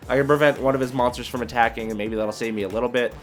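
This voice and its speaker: A man's voice: mid-range voice, fairly even intonation, racing, soft background music. A man giving casual gameplay commentary, thinking aloud in a hesitant, muttering way as he reasons through his moves. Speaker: video creator and card game player.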